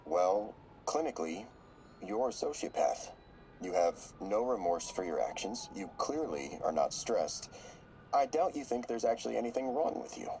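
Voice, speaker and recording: Low-voiced cool therapist, measured, rises and falls a lot. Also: male voice, big pitch swings, vocal fry, darkish tone, slight background noise, low-quality recording. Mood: clinical. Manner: measured, clinical. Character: calm male therapist, coolly analytical and scheming.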